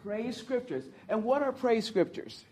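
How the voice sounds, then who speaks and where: A woman with a low voice teaches a group about leading worship, earnest and encouraging as she draws her listeners in. She is heard from across the room, the sound roomy and carrying a faint hum of ambience. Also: lively intonation, a little slow, darkish tone, distant mic. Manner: earnest, encouraging, speaks to the audience and invites replies. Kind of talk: church teaching talk.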